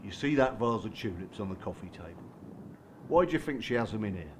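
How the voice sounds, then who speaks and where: Actor as blunt, rough-spoken mentor, noisy recording. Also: male voice, on the low side, animated, brisk, lightly acted. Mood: earnest, blunt. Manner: blunt, colloquial, matey. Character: blunt, streetwise male abuse-group mentor, rough and remorseful. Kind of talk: naturalistic radio drama acting.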